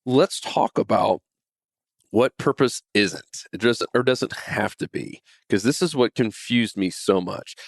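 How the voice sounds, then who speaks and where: A man's voice: medium pitch, a little slow, no echo, studio quality. A man in his forties hosting a podcast, talking earnestly about faith and purpose with frequent restarts and repeated words. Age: 40s. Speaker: podcast host. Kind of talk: casual faith talk monologue.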